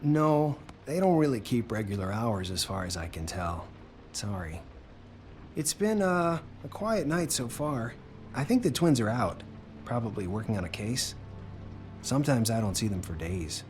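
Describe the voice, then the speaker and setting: A man's voice: mid-range voice, sing-song, normal speed, darkish tone, soft background music, lightly acted. An actor playing a meek, nervous, eager-to-please male night worker in a video game, hesitant and apologetic. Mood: awkward, friendly.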